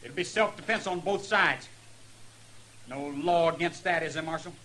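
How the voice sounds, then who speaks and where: High, edgy young American gunslinger. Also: male voice, normal speed, pushed and bright. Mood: defiant. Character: nervous, defiant young Texan gunslinger seeking revenge. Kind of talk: 1950s Western radio drama acting.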